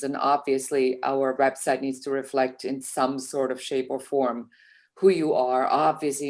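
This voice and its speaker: Low-voiced woman, confident business coach. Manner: fluent, confident, fillers like "you know". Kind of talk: casual interview chat.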